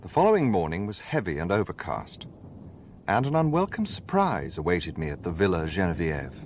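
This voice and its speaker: An actor playing an earnest, naive English gentleman, a detective's companion, speaking and narrating in a radio drama with a polite English accent. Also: deep voice, huge pitch swings, normal speed, a little hiss or hum, heavily acted. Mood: rueful. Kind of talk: radio drama dialogue and first-person narration.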